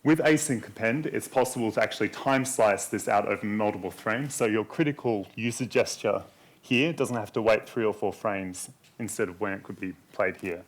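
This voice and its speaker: Male tech speaker with a lowish voice, calmly walking an audience through demos. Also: normal speed. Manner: explanatory, steady walkthrough of code and demos. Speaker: conference speaker. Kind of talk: technical conference talk walking through demos.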